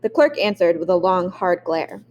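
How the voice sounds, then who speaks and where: A woman's voice: lowish voice, moderate pace, lo-fi sound, slight background noise. Woman reading a memoir aloud. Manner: expressive reading with occasional restarts. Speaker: audiobook reader.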